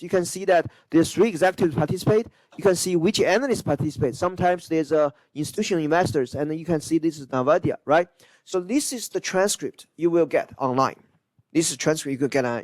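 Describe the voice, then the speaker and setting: A man's voice: high voice, darkish tone, dry recording, rough recording. A man who is a non-native English speaker lecturing informally over slides, repeating himself and checking in with listeners. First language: not English, non-native speaker. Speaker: university lecturer.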